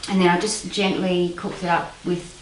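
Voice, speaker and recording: Woman, thirties, relaxed home-cook demo, roomy kitchen, dish clatter behind. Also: on the low side, normal speed, recorded from a distance, a little hiss or hum. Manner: hesitant, many ums, relaxed. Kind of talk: casual cooking demonstration. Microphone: far camera mic. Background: clattering dishes and cutlery.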